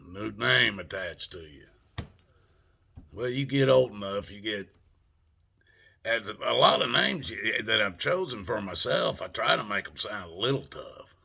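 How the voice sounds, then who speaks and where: Older Southern US man, vlogger; low, husky, slow, folksy and salty delivery with a tense, bright edge. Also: animated. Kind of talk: casual monologue to camera.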